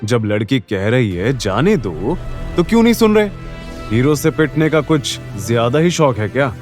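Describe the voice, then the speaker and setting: A man voicing a cocky, protective young hero with calm swagger in an audio drama. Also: medium pitch, moderate pace, soft background music, lightly acted. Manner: self-assured, reassuring, playful swagger. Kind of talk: Hindi audio drama acting.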